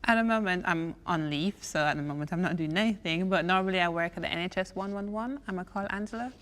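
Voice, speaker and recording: A woman's voice: on the low side, fast, fairly bright tone, long reverb tail. A woman in a casual interview, trading questions and straightforward answers. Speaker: housing tenant in a peer interview.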